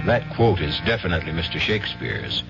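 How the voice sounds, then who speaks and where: Deep, theatrical man narrating mysteries. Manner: theatrical, deliberate, ominous. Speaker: host and narrator. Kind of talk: 1970s radio mystery narration. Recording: dull 1970s AM radio broadcast. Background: loud dramatic music.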